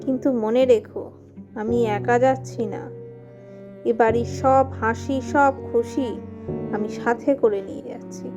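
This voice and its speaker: A woman's voice: a little high, steady tone, unhurried, pauses often, dull audio, over music. A woman acting a wronged, childless young wife who becomes a vengeful ghost in a horror audio drama. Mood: bitter, resolved. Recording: long hall reverb, clear background noise.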